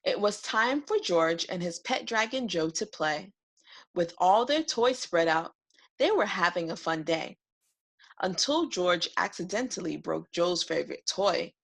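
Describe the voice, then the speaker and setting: Slow, lively woman reading a storybook. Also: lowish voice, creaky. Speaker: read-aloud host. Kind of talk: expressive storybook narration for preschoolers.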